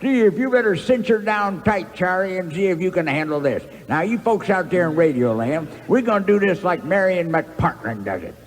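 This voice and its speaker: A man's voice: high voice, expressive, moderate pace, hardly any pauses, long reverb tail. A man playing a boastful, cantankerous old cowboy trail cook in a comic western radio show, with a folksy rural Western American drawl. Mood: boastful challenge. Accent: rural American West.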